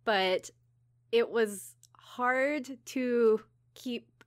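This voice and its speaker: A woman's voice: a little high, very slow. A woman co-hosting a podcast, chatting casually in an apologetic, giggly and hedging manner. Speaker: podcast co-host. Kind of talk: casual chat about anime.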